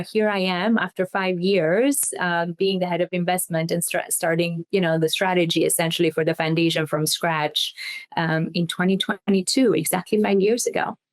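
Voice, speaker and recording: A woman's voice: fairly low pitch, fairly even intonation, moderate pace. A woman from Albania, a foundation investment head, answering interview questions thoughtfully with frequent ums. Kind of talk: interview guest.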